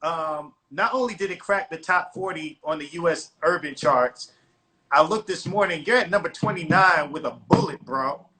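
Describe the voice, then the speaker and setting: A man from the United States hosting a casual interview, relaxed and laughing along, often calling his guest bro. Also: on the high side, normal speed, a little hiss or hum, poor audio. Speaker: radio and streaming host interviewer.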